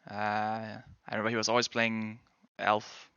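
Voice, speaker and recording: A man's voice: lowish voice, completely flat delivery, normal speed, bright, forceful tone, clear, resonant tone, dry recording, muffled recording. A young man from Denmark with a Danish accent, streaming and chatting casually with viewers in a rambling, hedging way. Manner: rambling, hedging, self-correcting. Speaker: game streamer and pro player. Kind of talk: casual chat answering viewers.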